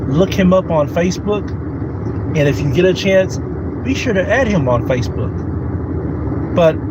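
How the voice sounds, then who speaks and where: A man in his thirties from Kentucky hosting a solo podcast, rambling and repeating himself while greeting live chat viewers. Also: sits high. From Kentucky, USA. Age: 30s. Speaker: podcast host. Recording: loud background noise.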